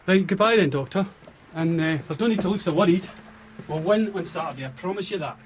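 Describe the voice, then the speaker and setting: A male actor plays a confident young footballer in a radio play. He talks fast and breezily in a fairly high voice, with faint ambience behind him. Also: a little hiss or hum, natural voice. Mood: breezy, cheerful. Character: cheerful, confident Scottish young father and footballer.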